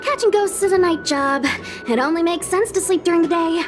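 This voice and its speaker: A woman playing a sleepy, whiny but lively young female ghost hunter in a video game cutscene. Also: extremely high pitch, fairly quick. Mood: groggy, wheedling. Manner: animated, playfully lazy. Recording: game music under the voice. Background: music.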